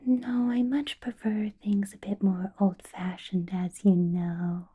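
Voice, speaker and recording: A seductive female vampire boss, played by a woman for an ASMR roleplay, speaks in a very breathy, husky whisper. She is possessive and politely menacing, drawing her words out extremely slowly with coaxing pauses. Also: mid-range voice, little pitch movement, dark tone, muffled recording. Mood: coaxing, doting. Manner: slow, deliberate, coaxing, with lingering pauses. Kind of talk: ASMR roleplay, personal attention.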